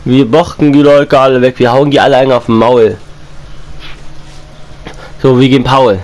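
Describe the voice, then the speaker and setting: A young man with a slight cold, chatting casually as he plays and comments on a console game, repeating and rhyming his lines and breaking into excited outbursts. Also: medium pitch, normal speed. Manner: excited outbursts while playing. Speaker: gaming YouTuber.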